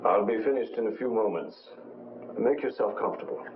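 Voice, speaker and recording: An actor playing a dignified, solemn Latin American president in exile, speaking formally in a 1950s film drama. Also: male voice, lowish voice, fairly quick, heavy background noise, close to an everyday voice. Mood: courteous. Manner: formal, grave, eloquent. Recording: muffled old film soundtrack.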